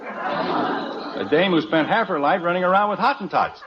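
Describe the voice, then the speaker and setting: A man's voice: sits high, animated, moderate pace, very noisy. An actor playing a stubborn, exasperated family father in an old-time radio comedy, blustering and argumentative. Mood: exasperated, sarcastic. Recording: dull old radio recording.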